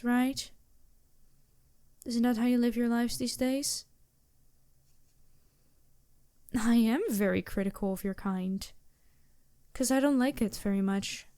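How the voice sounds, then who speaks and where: A woman plays a regal female demon princess in an intimate ASMR roleplay. The voice sits fairly high but stays mellow and very close and dry. Every phrase is unhurried and measured, imperious with compassion underneath. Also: clear, resonant tone, no room sound. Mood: critical. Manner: deliberate, softly commanding, measured.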